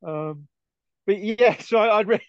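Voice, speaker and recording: A chatty man talking films in a relaxed podcast chat, laughing easily and losing his thread in "um"s. His voice sits a little high. It comes through a dull, lo-fi mic with no room sound at all. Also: no echo. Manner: chatty, laughs often, trails off with fillers. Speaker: podcast co-host. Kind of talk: casual film discussion.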